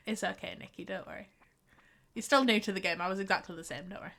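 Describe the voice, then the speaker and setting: A woman's voice: medium pitch, fairly quick, gravelly, pushed and bright, a little hiss or hum. A young woman with a light Yorkshire accent, chatting and sighing playfully while streaming a game. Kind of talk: casual gameplay commentary.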